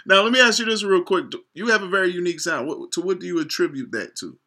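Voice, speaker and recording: A man's voice: high-pitched, animated, fairly quick, pushed and bright. Hype-talking male host, punchy tone. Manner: slangy, hype, repeats himself. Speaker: show host. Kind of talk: casual interview hosting.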